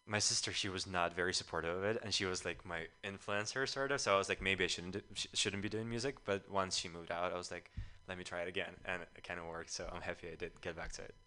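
A man's voice: deep voice, steady tone, fast, few pauses, sharp tone. A young man from Ukraine, a musician guest telling his story in a casual interview, often quoting himself. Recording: radio show studio.

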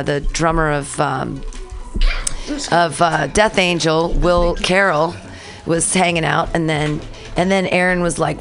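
A woman hosting a community radio show, chatting casually and telling rambling stories. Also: fairly low pitch. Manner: chatty, rambling, animated retellings. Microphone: studio mic.

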